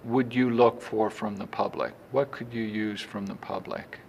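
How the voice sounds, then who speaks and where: A man's voice: on the low side, fairly even intonation, normal speed, creaky, a little mellow, a little hiss or hum. A man hosting an interview, who welcomes his guest and asks brief, polite, formal questions. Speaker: interview host. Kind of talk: hosting a local interview segment.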